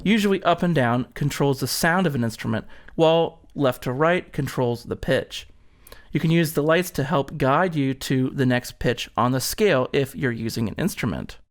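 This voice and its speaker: A man's voice: medium pitch, moderate pace, soft background music. A man presenting an instructional product demonstration, explaining things step by step.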